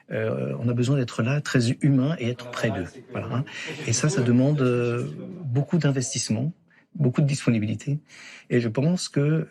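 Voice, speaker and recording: Priest-doctor, plain mid voice, halting thoughtful interview, slightly off-mic. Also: male voice, mid-range voice, vocal fry, mellow. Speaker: priest and palliative care doctor. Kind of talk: documentary interview.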